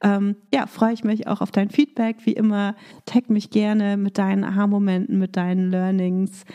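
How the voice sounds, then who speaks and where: A woman hosting her own business podcast, upbeat and coaching, still a little hoarse from a cold. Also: medium pitch, flat delivery, moderate pace, dark tone. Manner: upbeat coaching tone, with fillers in free talk. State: recovering from a cold, voice slightly rough. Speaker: podcast host. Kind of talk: scripted intro then casual monologue.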